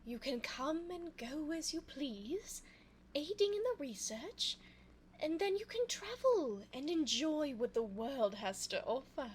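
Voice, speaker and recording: A woman playing an elegant, cunning vampire in an ASMR audio roleplay, speaking formally and coaxingly in a soft voice close to the microphone. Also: high voice, big pitch swings, a little hiss or hum. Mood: pleased, scheming. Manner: formal, genteel, slow, coaxing. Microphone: close binaural microphone.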